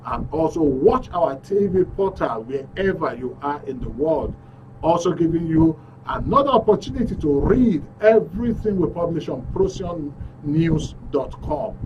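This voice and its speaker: A man's voice: fairly high pitch, expressive, moderate pace, far from the mic, lo-fi sound, slight background noise. A Nigerian man hosting a live call-in radio show from the studio, upbeat as he reads out messages and phone numbers. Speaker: radio talk show host.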